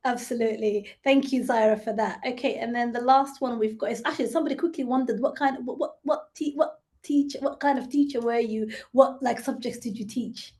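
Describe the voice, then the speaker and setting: A woman moderating an online panel, reading out audience questions in a brisk, upbeat way and sometimes fumbling mid-question. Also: on the high side, a little mellow. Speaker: interviewer and moderator.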